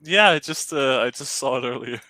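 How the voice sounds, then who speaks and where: A man's voice: mid-range voice, brisk, bright, forceful tone, dry recording. A man with a Danish accent chatting over a call, hesitant and giggly, often restarting his sentences. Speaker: client commissioning a map. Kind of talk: casual chat.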